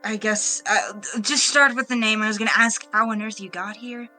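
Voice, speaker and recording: A weary, blunt female spaceship captain played by a woman in a tabletop game, with a bright, forward voice at medium pitch. She is halting and exasperated, as if just woken, over faint music. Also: fairly even intonation, lightly acted. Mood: groggy, baffled. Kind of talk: improvised tabletop roleplay.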